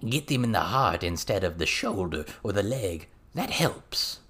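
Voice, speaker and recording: Crude little goblin tutor for an audiobook, male narrator acting, excitable, theatrical, swinging widely in pitch. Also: measured pace, husky, exaggerated voice. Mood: eager, instructive.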